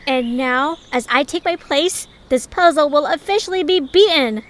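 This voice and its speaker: A woman's voice: sits high, normal speed, slight background noise, lightly acted. A woman playing a bossy, self-assured young female leader in an audio drama, commanding and boastful. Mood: smug.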